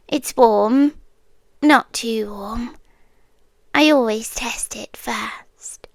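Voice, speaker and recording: A British woman playing an eerie, possessive, soft-spoken doctor in an ASMR roleplay, speaking deliberately in a hush that often slips into whispers, with long pauses. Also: a little high, dry recording. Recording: close, dry ASMR recording.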